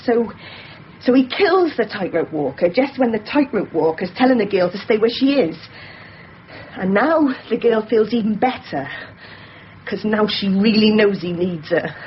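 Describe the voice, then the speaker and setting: An actress in a radio drama playing an intense woman who tells stories earnestly in halting, emphatic short phrases. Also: mid-range voice, lively intonation, close to an everyday voice. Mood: absorbed, earnest. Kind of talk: naturalistic 1990s radio drama acting. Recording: dull radio recording, faint noise.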